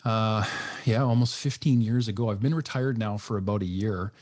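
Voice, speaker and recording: A middle-aged man from northern Alberta, a retired police officer, talking calmly and reflectively as a podcast guest. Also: on the low side, moderate pace. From northern Alberta, Canada. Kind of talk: casual podcast chat.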